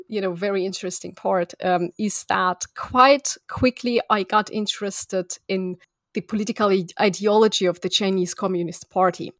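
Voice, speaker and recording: A woman's voice: medium pitch, no room sound. A woman from Russia, raised in Germany, answering interview questions in a friendly, conversational way with frequent ums. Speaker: academic guest.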